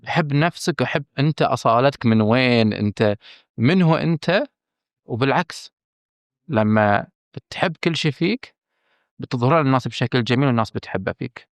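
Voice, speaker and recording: A man with a Bahraini accent, a film director speaking candidly as a podcast guest, emphatic and earnest, often pausing on fillers and restarting. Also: mid-range voice, expressive, no echo. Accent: Bahraini Arabic. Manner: earnest and emphatic, stressing his frankness, with hesitant fillers and restarts.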